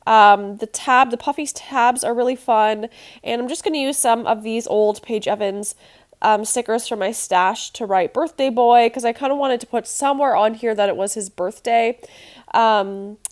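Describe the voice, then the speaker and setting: A woman's voice: fairly high pitch, normal speed. A young woman with a nasal, congested voice, chatting casually with many ums as she talks through a craft project. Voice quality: nasal from allergies. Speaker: scrapbooking channel host.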